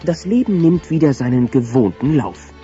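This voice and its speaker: A man narrating a German children's audio drama in a mellow, scripted storybook style. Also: medium pitch, animated, moderate pace, lightly acted. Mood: storytelling. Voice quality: mellow, warm. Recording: dull cassette recording, faint music behind.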